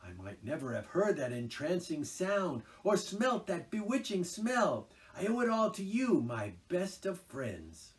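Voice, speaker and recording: A boastful, dream-struck toad gushes breathlessly about his new obsession, as a man puts him on. The voice leaps high and swoops wildly from word to word. It is a storybook reading, caught a little distant from the microphone. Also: sing-song, unhurried, few pauses. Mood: enraptured.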